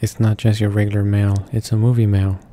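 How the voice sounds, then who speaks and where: A man's voice: fairly low pitch, little pitch movement, fairly quick, mellow, slight background noise. A man reading visual novel text aloud as a let's player, stumbling over words now and then. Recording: game music behind the voice. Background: video game music.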